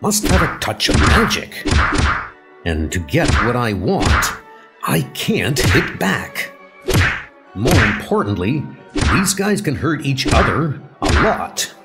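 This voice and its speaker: A man's voice: medium pitch, big pitch swings, slow. A man playing a steadfast, wry, confident superhero in a comic book audio drama. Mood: wry, strained. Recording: music under the voice.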